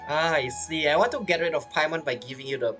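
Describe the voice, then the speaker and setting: A woman's voice: low-pitched, quick pace. A woman giving casual, playful commentary on a video game as she plays, teasing and reacting to the dialogue. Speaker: gamer playing and commenting. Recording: faint game music behind.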